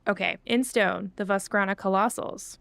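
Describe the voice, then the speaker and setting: Female game streamer, casual and chatty, noticeable vocal fry, dry close mic. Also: mid-range voice, steady tone, normal speed, fairly bright tone, dry recording. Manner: chatty, thinking aloud. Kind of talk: casual livestream chat while playing.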